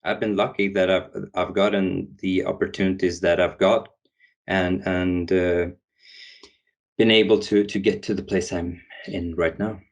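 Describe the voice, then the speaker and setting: A man's voice: deep voice, steady tone, unhurried. A young man from Sweden, a podcast guest, speaking in English with many restarts and fillers. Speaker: guest, founder. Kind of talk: podcast interview.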